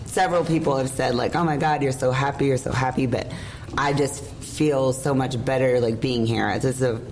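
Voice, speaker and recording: A middle-aged woman with a hoarse, worn voice, hosting a casual podcast and rambling openly. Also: extremely low pitch, steady tone, normal speed, a little mellow, a little hiss or hum, low-quality recording. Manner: rambling, emotional, laughs often. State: hoarse, lost voice. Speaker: podcast host. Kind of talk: casual chat. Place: room at an event hotel. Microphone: shared mic.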